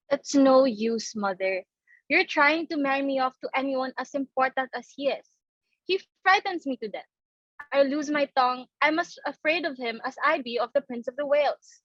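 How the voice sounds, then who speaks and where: A woman reads a young society daughter in a play. The voice is high, timid and plaintive. The recording is dry and somewhat dull. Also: moderate pace, fairly bright tone, no echo. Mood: resigned, plaintive.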